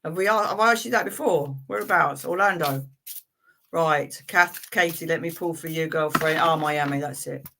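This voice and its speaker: A woman's voice: low voice, moderate pace, vocal fry, a bit bright, slight background noise. A woman hosting a live tarot reading stream, chatting in a friendly way with viewers and reading cards for them, with frequent fillers and laughs.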